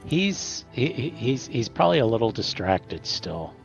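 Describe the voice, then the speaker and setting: A man improvises casually as a tabletop game player, halting and stammering. His pitch is animated with some vocal fry, and the slightly dull recording has faint music behind it.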